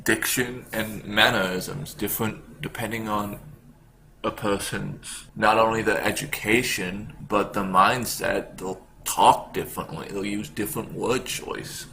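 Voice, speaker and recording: A man's voice: fairly low pitch, fairly even intonation, unhurried, gravelly, pushed and bright. A man hosting a podcast in a casual, conversational style. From Canada. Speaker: podcast host. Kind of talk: casual chat. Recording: slight background noise.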